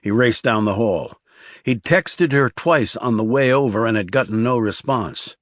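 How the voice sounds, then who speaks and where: A man's voice: mid-range voice, a little slow, fairly bright tone, dry recording, studio quality. A man reading scripted thriller narration as an audiobook narrator.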